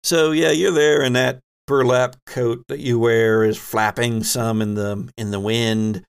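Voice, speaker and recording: A man hosting a tabletop role-playing game as game master, improvising narration and often pausing mid-sentence to find his words. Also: mid-range voice, fairly even intonation, vocal fry, clear, resonant tone.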